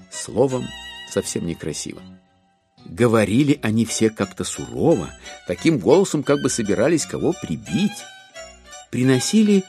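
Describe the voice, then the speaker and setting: A man narrating a literary audio drama in an expressive, theatrical and wry manner. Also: mid-range voice.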